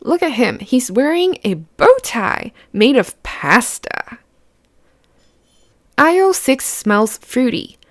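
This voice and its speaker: A woman's voice: fairly high pitch, sing-song, takes it slowly, no echo, studio quality. A woman reading a picture book aloud to children, explaining simply and putting questions to the listener. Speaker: children's read-aloud host.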